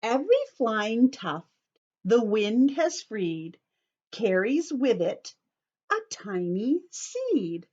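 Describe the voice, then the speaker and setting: A woman reading a storybook aloud to young children in an animated, teacherly way, stopping to explain simply and ask questions. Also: a little high, takes it slowly, lo-fi sound.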